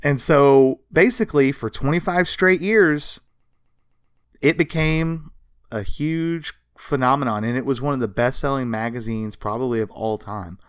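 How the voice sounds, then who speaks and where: A man's voice: medium pitch, a little slow, clear, resonant tone, no room sound, clean studio sound. A man co-hosting a podcast, telling history in an informal, conversational way with plenty of emphasis. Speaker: podcast co-host. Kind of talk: casual podcast storytelling about pop culture history.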